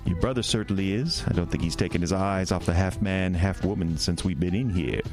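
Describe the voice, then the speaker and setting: An actor playing a sly, sinister male carnival owner who talks in a smooth, teasing, drawn-out way in a horror radio drama. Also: deep voice, natural voice. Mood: insinuating. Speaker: carnival showman. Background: music score.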